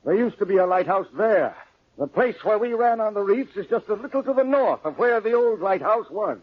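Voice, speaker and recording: A sea captain who stands his ground, earnest and formal in his seafaring speech. The voice sits high with a husky edge, in the manner of old-time radio drama. The recording is muffled and vintage. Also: lively intonation, a little fast. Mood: earnest, defensive. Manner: formal nautical speech with "aye". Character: earnest, defensive sea captain with superstitious streak.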